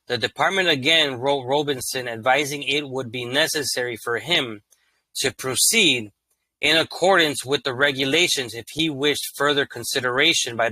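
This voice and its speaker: Brief: male host, bright and sharp tone, reads legal text flat then drops into loose slang asides, monotone by his own account. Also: mid-range voice, dry recording, low-quality recording. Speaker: channel host reading and commenting on court cases. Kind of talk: casual read-aloud commentary.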